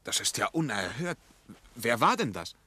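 An actor playing a polite, slightly flustered young English bank employee in a 1950s German radio play, earnest and a little hesitant. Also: male voice, medium pitch, big pitch swings, normal speed, pushed and bright, husky, no echo, exaggerated voice. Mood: indignant, helpful. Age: young adult.

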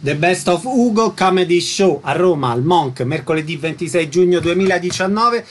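Guy hosting a radio interview show, voice a bit on the high side, asks stuff and announces events. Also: lively intonation, moderate pace, background noise.

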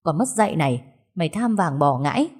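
A woman voicing a furious, foul-mouthed older sister who shouts insults and sobs. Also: low voice, very animated, brisk, slightly rough, exaggerated voice.

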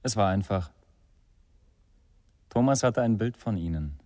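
An actor playing a condescending far-right extremist in a radio crime drama, controlled and quietly threatening. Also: male voice, on the low side, expressive, a little slow, no echo, studio quality. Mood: cool, curt. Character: menacing, self-assured male far-right extremist, condescending.

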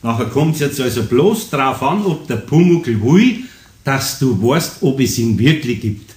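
An actor playing a good-natured older master carpenter in a radio play, talking in homely, gently teasing Bavarian dialect. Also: male voice, mid-range voice, lively intonation, a little slow, close to an everyday voice.

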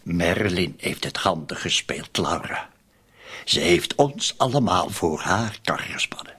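An actor with a Flemish accent playing a scheming, suspicious conspirator in a radio drama, insistent and often dropping to near-whispers. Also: male voice, low voice, big pitch swings, unhurried, a bit bright, husky, exaggerated voice. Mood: conspiratorial.

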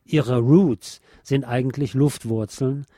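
A man presenting on the radio, reading a nonfiction book chapter aloud in a measured, bookish way. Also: medium pitch, steady tone, warm tone, no echo, clean studio sound.

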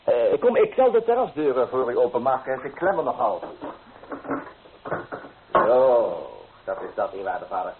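An actor as a young charmer, wildly animated. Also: male voice, mid-range voice, moderate pace, close to an everyday voice. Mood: breezy, over-eager. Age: young adult. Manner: coaxing, theatrical. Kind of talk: old radio play acting. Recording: tinny old radio recording, hissy.

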